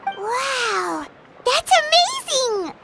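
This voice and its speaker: Squeaky little fairy girl. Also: very slow, vocal fry, very noisy, exaggerated voice. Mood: amazed. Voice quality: squeaky, childlike. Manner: refers to self by own name, exclaiming, expressive. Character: tiny childlike fairy companion girl, chirpy, curious, excitable. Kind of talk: video game voice acting. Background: loud music.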